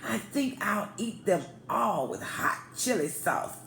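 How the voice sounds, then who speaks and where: A woman's voice: mid-range voice, sing-song, takes it slowly, gravelly, a bit bright, heavily acted. Storybook villain: woman playing a greedy male coyote trickster, coaxing then blustering, in a roomy space. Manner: wheedling and blustering. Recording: roomy echo, faint background noise.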